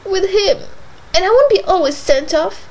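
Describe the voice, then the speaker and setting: A woman's voice: animated, normal speed, rough recording. A woman voicing a tearful infatuated young lady. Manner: wailing, plaintive, high and loud.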